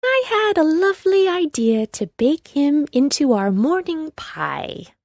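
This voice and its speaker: A woman's voice: high voice, big pitch swings, measured pace, dry recording, clean studio sound, voice pushed up, heavily acted. A woman voicing a sly old witch who coaxes sweetly in a children's storybook. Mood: slyly sweet.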